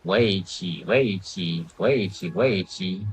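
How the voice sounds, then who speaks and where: A male streamer, reacting to gameplay in short loud exclamations. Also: sits low, slow pace, a little hiss or hum. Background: game music.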